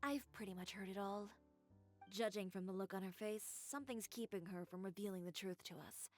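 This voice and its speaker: A woman voicing a composed, worldly tailor in a video game, speaking calmly and in a businesslike way. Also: mid-range voice, a little fast, soft background music, close to an everyday voice. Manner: calm, cool and businesslike. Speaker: dressmaker.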